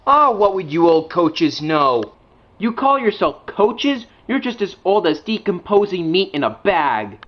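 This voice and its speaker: A man's voice: high-pitched, very animated, a little slow, highs cut off, a little hiss or hum. A man voicing a rude, boastful, grumpy tank engine in a cartoon, insulting and sneering as he talks. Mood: scornful.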